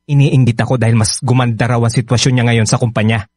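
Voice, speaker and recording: A man's voice: mid-range voice, steady tone, quick pace, a little mellow, no room sound, clean studio sound, close to an everyday voice. A Filipino-accented man acting in a radio drama as an evasive, resentful young husband and father on the defensive.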